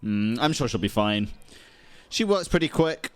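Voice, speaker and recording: A woman's voice: very deep voice, very animated, measured pace, a little mellow. A woman chatting casually on a gaming stream, with playful, sweary asides. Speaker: streamer reacting to a game.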